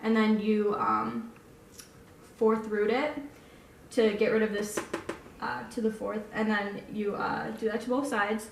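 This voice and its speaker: Woman explaining math, hesitant, flat, distant and roomy. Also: on the high side. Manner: hesitant, with fillers. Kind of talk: step-by-step explanation.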